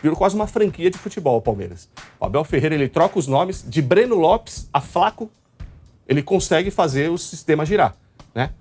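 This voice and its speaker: Male live football show host, Brazilian accent from São Paulo state, rapid casual delivery, music underneath. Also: on the high side, very animated, a little mellow. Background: background music.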